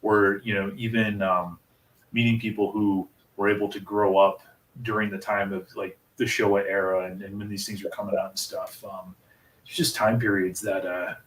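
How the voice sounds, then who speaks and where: A man's voice: fairly low pitch, flat delivery, moderate pace, far from the mic. An American man in his thirties hosting a casual interview, chatty and rambling. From United States. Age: 30s. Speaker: interview host. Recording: echoey room, away from the mic.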